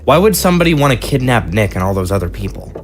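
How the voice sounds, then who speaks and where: A man's voice: mid-range voice, sing-song, quick pace, a little hiss or hum, natural voice. A man playing a worried, sarcastic young son in an audio drama, full of questions.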